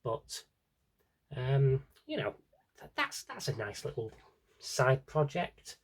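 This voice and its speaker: A man's voice: medium pitch, wildly swooping pitch, takes it slowly, slight background noise. A man giving a casual, rambling show-and-tell to viewers, chatty and enthusiastic, drifting into asides to himself. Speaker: video host showing a personal CD collection.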